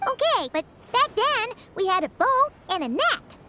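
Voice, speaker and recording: An actress voicing a tiny, childlike fairy companion in a video game, cutesy, cheeky and inquisitive. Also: female voice, very animated, moderate pace, noisy background. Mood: cheeky doubt. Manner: squeaky, cutesy. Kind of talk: cartoonish video game dialogue. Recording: background music under voice.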